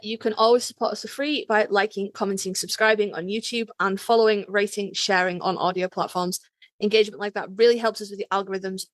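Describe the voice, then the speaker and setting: A woman's voice: mid-range voice, fairly bright tone, no echo. A woman co-hosting a podcast in a casual, effusive and emphatic way. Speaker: podcast co-host. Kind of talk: casual chat and podcast sign-off.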